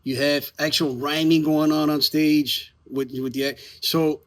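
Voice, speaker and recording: A man's voice: a little high, little pitch movement, a little slow. A man speaking as a theater maker in a casual promotional chat, informal and emphatic. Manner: informal, emphatic, lightly profane. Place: outdoors in the evening.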